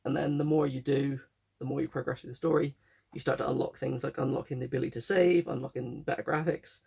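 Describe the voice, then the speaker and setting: Young male vlogger, hoarse from a cold, raspy and gravelly, loose rambling delivery. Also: medium pitch, fairly even intonation, quick pace, a little mellow. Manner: rambling, self-correcting. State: croaky with a cold. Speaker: game collector vlogger. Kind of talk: casual chat.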